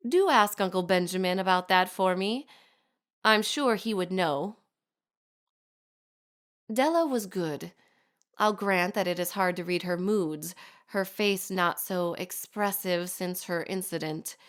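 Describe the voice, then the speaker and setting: A woman's voice: lowish voice, takes it slowly, pauses often, a bit bright. A woman playing a poised, sardonic society lady in an audio drama, narrating a letter with precise, formal, archly wry diction. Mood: measured, reflective. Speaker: actor in a fiction podcast. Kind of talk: scripted audio drama monologue, letter read aloud.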